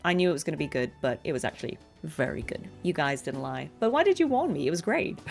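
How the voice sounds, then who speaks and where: A woman's voice: deep voice, very animated, fast, creaky, faint music behind. A woman chatting casually and affectionately to viewers on a livestream from home, giggly and chatty. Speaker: streamer and voice actress.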